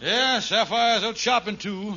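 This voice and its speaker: Dull old radio recording, wisecracking scheming husband, high-pitched, folksy exaggerated comic dialect, sitcom delivery. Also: male voice, measured pace, sharp tone, full character voice. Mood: breezy. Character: scheming, wisecracking married man, put-upon apartment host. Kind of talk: 1940s radio sitcom acting.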